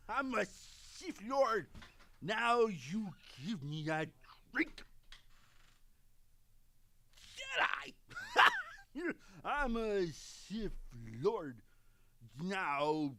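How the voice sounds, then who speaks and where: Wildly swooping drunk Sith Lord. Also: male voice, high voice, bright, forceful tone, pitched above the performer's own voice, heavily acted. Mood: blustering, demanding. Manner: boisterous, stumbling over words. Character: drunk, blustering male wannabe Sith Lord. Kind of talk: audio drama voice acting, multiple takes.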